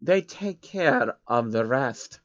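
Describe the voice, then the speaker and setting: A man's voice: medium pitch, animated, slow pace, dry recording, muffled recording. A man voicing a smug, fussy, pompous rich baron in a storybook reading. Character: smug scheming rich male banker baron, fussy and haughty.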